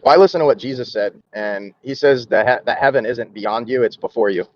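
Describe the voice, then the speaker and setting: A man's voice: mid-range voice, a little fast, no room sound, muffled recording. A man in a casual discussion, speaking hesitantly with many fillers.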